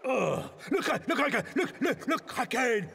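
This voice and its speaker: A man voicing a bearded Viking follower in a children's cartoon, gravelly, earnest and stammering with over-dramatic alarm, music behind. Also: very high-pitched, sing-song, measured pace, pushed and bright, slight background noise, full character voice. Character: bearded adult male Viking, excitable, easily alarmed follower.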